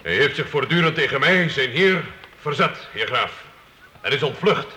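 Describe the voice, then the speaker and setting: A man's voice: medium pitch, animated, normal speed, pushed and bright. An actor playing a hard, grudging medieval nobleman in a Dutch radio play, curt and disdainful but formally deferential. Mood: disdainful, insistent. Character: hard, grudging medieval nobleman accusing his runaway serf.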